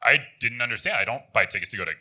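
A man with a deep voice answering questions on stage in short, reluctant replies. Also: lively intonation, rapid-fire, sharp tone, breathy edge. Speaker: audience guest, husband.

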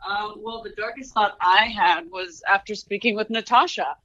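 A man's voice: sits high, normal speed, sharp tone, no room sound, muffled recording. A man chatting casually as a podcast guest, with an incredulous, needling way of asking questions. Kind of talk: casual comic chat.

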